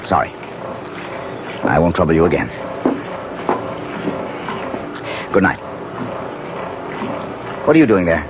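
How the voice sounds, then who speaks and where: A man's voice: low-pitched, big pitch swings, moderate pace. An American actor playing a pushy, brooding lodger in a radio drama, blunt and insistent in his delivery. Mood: curt, suspicious. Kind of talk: 1970s radio drama acting. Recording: dull, noisy 1970s radio broadcast recording.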